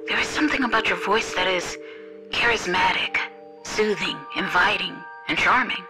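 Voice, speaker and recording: A woman performs a spellbound female follower in a dramatized story. She is breathy, devoted and pleading, as if worshipping the person she speaks to. Music plays clearly behind her mid-pitched voice. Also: moderate pace, close to an everyday voice. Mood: entranced, adoring. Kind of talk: dramatized audio story acting.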